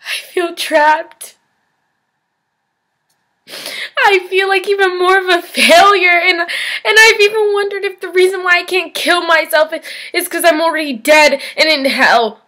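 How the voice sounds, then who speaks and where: Actress doing a hopeless young woman baring her pain, highish sharp voice, halting and raw, stage monologue style. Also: steady tone. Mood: desperate, tearful. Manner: halting, emotional, swinging from hushed to anguished.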